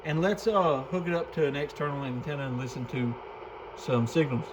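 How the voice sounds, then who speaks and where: Slightly high-voiced man, noisy shop recording. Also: fairly quick. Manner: informal, unscripted, explanatory. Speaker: radio shop host demonstrating a CB radio. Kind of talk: casual product walkthrough. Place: radio shop. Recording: clear background noise with radio clicks.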